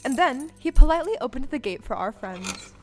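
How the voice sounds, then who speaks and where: Female narrator, higher register, scripted storybook telling for an audio drama, music clearly behind. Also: lively intonation, a little fast. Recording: music under the voice.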